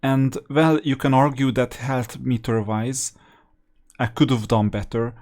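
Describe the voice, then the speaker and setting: A man's voice: mid-range voice, level tone, a little slow, a little mellow. A man narrating a video game with casual, matter-of-fact commentary, explaining strategy as he plays. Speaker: host of a gaming strategy channel.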